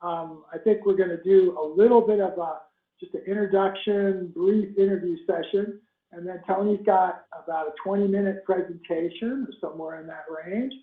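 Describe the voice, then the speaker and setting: High-voiced upbeat man hosting a webinar. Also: moderate pace. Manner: upbeat, chatty, enthusiastic. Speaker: webinar host. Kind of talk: casual online webinar hosting. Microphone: video call. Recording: tinny phone-like line, echoey room.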